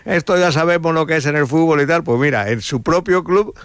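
A man's voice: a little high, brisk, a bit bright, smooth, no room sound, clean studio sound. A man making wry, sardonic remarks as a radio sports pundit.

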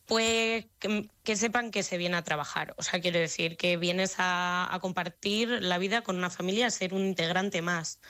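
A woman's voice: on the low side, moderate pace, few pauses, a bit bright. A young woman from Spain with a slightly Madrid-leaning Spanish accent, chatting as an interview guest with many fillers and restarts. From Spain, Extremadura. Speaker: guest, au pair abroad. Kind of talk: casual remote interview chat.

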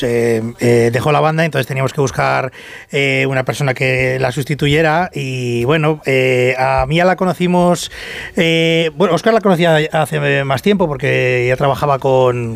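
Creaky, halting Spanish man. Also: mid-range voice. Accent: Castilian Spanish. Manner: halting, filler-heavy. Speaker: band musician being interviewed. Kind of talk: casual group interview.

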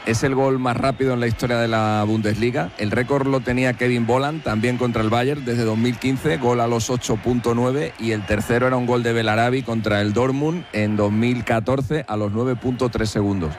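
A man with a Spain accent gives unscripted sports punditry in a middling-pitched voice. He halts and repeats phrases as he works through an explanation. Clear noise sits behind him. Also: steady tone, moderate pace, hardly any pauses. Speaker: football pundit and statistician on a radio sports show. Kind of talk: unscripted studio sports talk.

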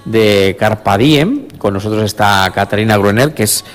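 A man's voice: on the low side, normal speed, in a large hall, faint music behind. A man, reporting live for radio from a festival venue and hesitating often with fillers. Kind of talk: on-site radio interview. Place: palace courtyard at a theatre festival.

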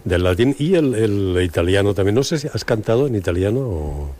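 A man's voice: fairly low pitch, expressive, fairly quick, dark tone. A man hosting an interview, affectionate and conversational, asking questions and agreeing often. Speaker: radio interviewer host.

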